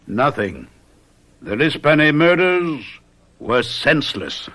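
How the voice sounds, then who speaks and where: An actor playing a confident, theatrical detective in a radio mystery drama, speaking in a deliberate, emphatic, urbane way. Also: male voice, on the high side, big pitch swings, bright, forceful tone, full character voice. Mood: assured, sly. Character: confident, theatrical male detective lecturing his companions.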